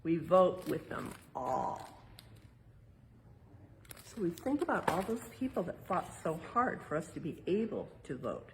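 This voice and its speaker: A woman's voice: fairly low pitch, very animated, unhurried, background noise. A woman with an American accent reading a picture book aloud and explaining it simply for young listeners as a museum educator. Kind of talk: read-aloud with explanatory asides.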